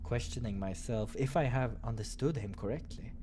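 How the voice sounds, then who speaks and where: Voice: medium pitch, moderate pace. A person narrating a visual novel playthrough, reading aloud and adding casual commentary. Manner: acts out dialogue between asides. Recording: clear background noise.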